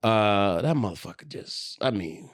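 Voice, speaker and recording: A man's voice: fairly low pitch, animated, unhurried. A man of forty co-hosting a podcast, chatting casually in blunt, slangy, profane language. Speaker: podcast co-host. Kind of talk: casual chat.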